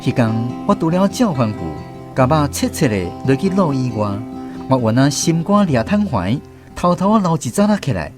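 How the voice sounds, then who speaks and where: A man with a Taiwanese accent narrating a scripted radio drama as a grown son, reflective and expressive. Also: on the high side, normal speed. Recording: music behind the voice.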